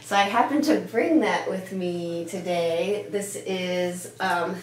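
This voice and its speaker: A woman's voice: lowish voice, slow pace. A woman in her thirties, born in Denmark with Danish as her first language, chatting casually as a pediatrician answering interview questions, with lots of "uh" and "um". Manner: chatty, with frequent "uh" and "um" fillers. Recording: echoey room with faint hum.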